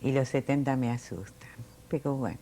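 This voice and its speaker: A woman in her late sixties, born in Italy, telling her life story in an oral history interview, reflective and unhurried with frequent fillers. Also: rumbling low, dark tone, a little hiss or hum. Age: 60s.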